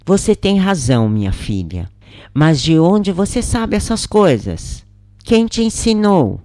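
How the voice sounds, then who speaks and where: A woman's voice: on the low side, big pitch swings, unhurried, dark tone, dry recording, clean studio sound, pitched above the performer's own voice. A woman with a Brazilian accent voicing a regretful, unsure adoptive mother who confesses and asks questions in a story. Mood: puzzled.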